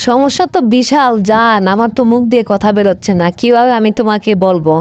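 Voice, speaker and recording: Fast, high-pitched scheming cartoon girlfriend, played by a woman. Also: darkish tone, muffled recording, lightly acted. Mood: pleading. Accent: Bangladeshi. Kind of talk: comic cartoon dialogue.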